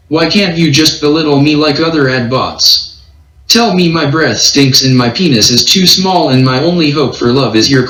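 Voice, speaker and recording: A synthetic male text-to-speech voice reading a short story as scripted narration in an even, impersonal way. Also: mid-range voice, roomy sound, low-quality recording. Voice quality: synthetic read-aloud voice.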